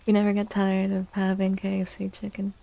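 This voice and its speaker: A woman livestream host chatting in a soft, gentle ASMR style close to the mic, drifting now and then toward a breathy whisper. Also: mid-range voice, completely flat delivery, fairly quick, poor audio. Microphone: close microphone.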